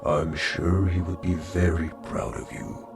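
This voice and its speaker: An actor playing a formal senior robot medical official in an audio drama, measured and ceremonious, with a gravelly voice. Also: male voice, low voice, level tone. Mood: solemn. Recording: roomy, distant, music underneath.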